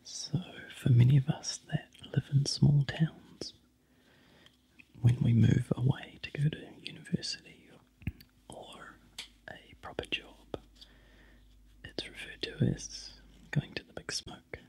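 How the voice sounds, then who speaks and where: A soft, breathy ASMR whisper from a New Zealand man, unhurried and full of little ums. His voice sits mellow and dark, with a gravelly edge. The breathiness never lifts into clear tone. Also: mid-range voice, steady tone.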